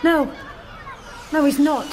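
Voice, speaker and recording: An actress playing Juliet, a teenage schoolgirl, who talks in a gushing, fretful way and often drops to a hush. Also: sits high, steady tone, slow pace, a faint crowd, slight background noise. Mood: excited. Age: teens. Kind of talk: radio drama acting.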